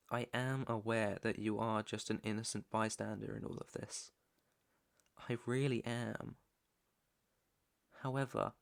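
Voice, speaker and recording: A British man playing a menacing, teasing mob boss's son in an intimate ASMR roleplay, with a deliberate, taunting delivery. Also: mid-range voice, vocal fry. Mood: softening, soothing, then calm, concerned. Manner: slow, deliberate, taunting, swearing. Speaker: roleplay audio performer.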